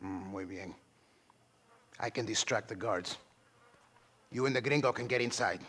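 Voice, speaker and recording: An actor playing a wary but helpful rebel villager with a Mexican accent and a husky voice in a western game. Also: male voice, fairly low pitch, measured pace, fairly bright tone. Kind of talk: western video game acting.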